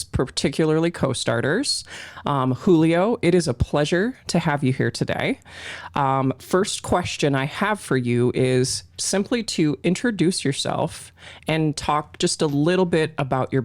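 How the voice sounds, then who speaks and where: A man's voice: a little high, no room sound. A man hosting a show, asking questions conversationally with an encouraging manner. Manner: encouraging, frequent affirmations. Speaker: podcast host, organization president. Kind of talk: conversational interviewing.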